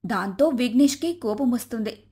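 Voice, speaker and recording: A woman with a high-pitched voice tells a scripted moral story for animation. She delivers it as a storyteller narrating family drama. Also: expressive, normal speed, dark tone, breathy edge.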